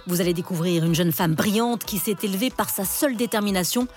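A woman's voice: medium pitch, fast talker, a little mellow, faint music behind. A woman hosting a podcast, reading a polished introduction and asking interview questions. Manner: polished, clear presenter delivery. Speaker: podcast host.

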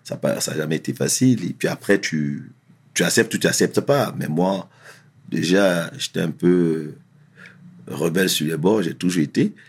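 A man who grew up in France, telling his life story in a relaxed, slangy, candid way as an interview guest. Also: low voice, moderate pace, husky.

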